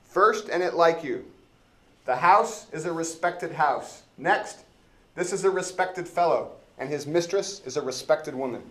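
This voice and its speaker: A man's voice: on the high side, animated, measured pace, sharp tone, roomy sound, voice pushed up. A man playing an indignant, self-important, foolish constable in a classroom reading of an old stage comedy, pompous and sputtering. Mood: earnest.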